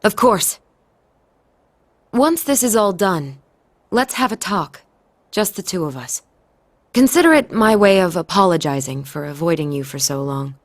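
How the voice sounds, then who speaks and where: An actress playing a composed, sly and mysterious enchantress in a video game, poised and playful. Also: female voice, lowish voice, expressive, unhurried, long pauses. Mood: poised, coy. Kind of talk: video game cutscene acting.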